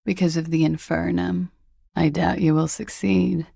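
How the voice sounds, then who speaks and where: An actress playing a cool, world-weary young expatriate woman in a video game, speaking with dry, languid irony in a husky, gravelly voice. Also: lowish voice, flat delivery, measured pace, mellow, dry recording, full character voice. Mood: wry, teasing. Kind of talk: video game character dialogue.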